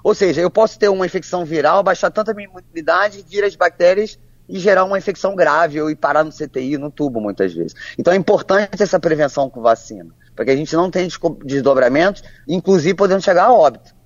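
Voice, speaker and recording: A woman, a physician answering interview questions in a measured, plain way with frequent restarts. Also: lowish voice, animated, dry recording. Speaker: physician guest. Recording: dull, lo-fi, dry sound.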